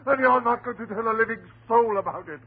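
A man's voice: very high-pitched, fast, husky, narrow, tinny audio. An actor playing a distraught, desperate husband devoted to his wife, in melodramatic, pleading 1930s radio horror style. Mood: frantic, defiant. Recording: noisy, band-limited 1930s radio recording.

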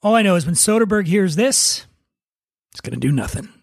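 Husky, gravelly man hosting a podcast. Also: high-pitched, expressive, fairly quick, no room sound, studio quality. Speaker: podcast host. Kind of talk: casual chat.

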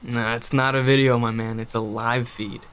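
A man's voice: mid-range voice, little pitch movement, moderate pace, fairly bright tone, a little hiss or hum, natural voice. A man playing an enthusiastic stoner roommate in a horror audio drama, talking in slangy, laid-back rambles about creepy internet lore. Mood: casual, smug.

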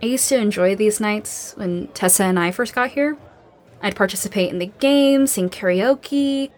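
A woman's voice: medium pitch, close to an everyday voice. Audio drama role, female actor: grieving young woman survivor, self-deprecating, hesitant, understated and natural. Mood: shy, awkward. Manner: hesitant, halting.

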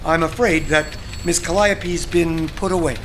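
A man's voice: on the high side, fairly even intonation, unhurried, a bit bright, a little hiss or hum. A man playing a prissy, evasive, exasperated publishing agent in an audio drama, speaking in a fussy, formal, condescending way. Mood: grave, condescending.

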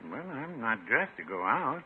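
An actor playing a mild, homely shopkeeper in a 1950s radio comedy, folksy and drily teasing. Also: male voice, medium pitch, big pitch swings, measured pace, breathy edge, full character voice. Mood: homely, wry. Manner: folksy, dry, understated. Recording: noisy, muffled 1950s radio recording.

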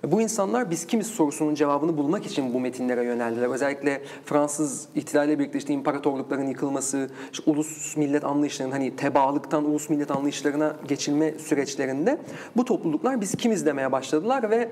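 A man's voice: a little high, quick pace, darkish tone. A man speaking as an interview guest and researcher, explaining in a discursive academic way with rhetorical questions.

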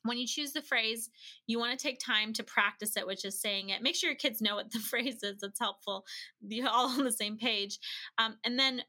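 A woman's voice: on the high side, moderate pace, pushed and bright. A woman speaking as a podcast guest, giving chatty, practical advice with everyday examples and acted-out bits of dialogue. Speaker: podcast guest, language-learning educator. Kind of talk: casual conversational advice.

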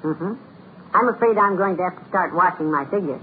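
An actress playing a patient, gently chiding middle-aged wife with dry wit in a 1950s radio comedy. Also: female voice, on the low side, fairly quick, lightly acted. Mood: dryly resigned. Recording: tinny, noisy old radio broadcast.

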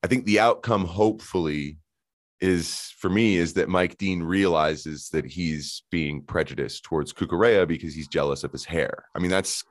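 Low-voiced joking man hosting a podcast. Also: moderate pace, dry recording, clean studio sound. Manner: animated, joking, trailing restarts. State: hoarse, voice shot in intro. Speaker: podcast host. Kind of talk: casual football podcast chat.

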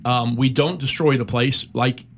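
A middle-aged American man, a military veteran, recounting a personal war story in an interview with measured, reflective pacing and frequent pauses. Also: mid-range voice, fairly even intonation. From United States.

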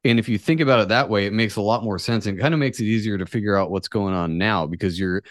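A man's voice: on the low side, fast, no echo, clean studio sound. A man hosting a podcast, talking casually and musing speculatively, with some offhand swearing. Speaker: podcast host. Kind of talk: casual conversational podcast talk.

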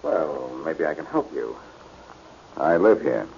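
An actor playing a shrewd, commanding amateur sleuth in 1940s radio style, with a husky voice. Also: male voice, very deep voice, sing-song, takes it slowly, darkish tone, full character voice. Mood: wary, commanding. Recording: muffled old radio recording.

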